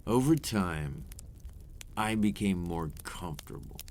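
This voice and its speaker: A man playing a weary, remorseful vampire captor in an intimate ASMR roleplay, confessing his past in measured, formal words. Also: sits low, expressive, a little mellow, slight background noise. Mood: somber, resigned.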